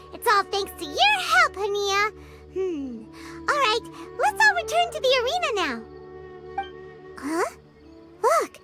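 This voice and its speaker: A woman voicing a tiny, chirpy, boastful female fairy companion with a squeaky childlike voice in a video game. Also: full character voice. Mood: excited, surprised. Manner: refers to self in third person, exclaiming.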